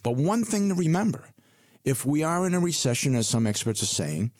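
A man's voice: fairly high pitch, big pitch swings, a little fast, a little mellow, dry recording, clean studio sound. A man co-hosting a retirement radio show as a financial advisor, talking in a conversational, reassuring way and leaning hard on key figures.

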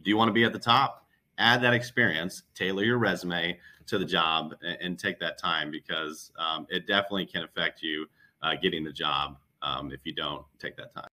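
A man's voice: deep voice, fairly even intonation, moderate pace, pushed and bright. A man speaking as a recruiter on a panel, giving emphatic, conversational advice off the cuff. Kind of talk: unscripted advisory panel talk.

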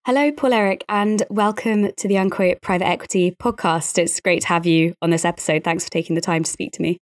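A woman speaking as a podcast host, measured and articulate, in a presenting and interviewing style. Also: fairly low pitch, fairly even intonation. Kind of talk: scripted podcast narration and interviewing.

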